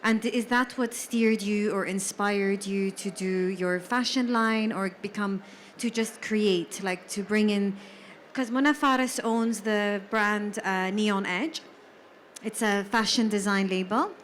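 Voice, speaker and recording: A woman's voice: medium pitch, steady tone, slow, slight background noise. Woman moderating a panel. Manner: probing, conversational. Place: literary salon at a book fair.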